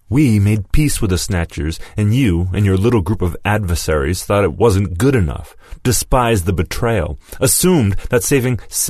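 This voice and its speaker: A man voicing Lewis, an angry, vengeful former comrade who shouts accusations and whose voice cracks. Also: lowish voice, no echo, clean studio sound, voice pushed up. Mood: furious shouting.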